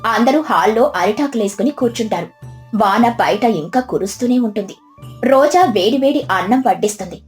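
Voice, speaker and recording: A woman's voice: a little high, expressive, normal speed, soft background music. A woman storyteller reading a scripted moral tale as narration.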